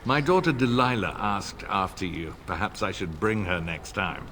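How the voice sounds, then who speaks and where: Polite old lord ally, deep voice, measured and formal. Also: male voice, animated, sharp tone, a little hiss or hum, heavily acted. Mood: courteous. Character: older male noble ally, courteous and formal.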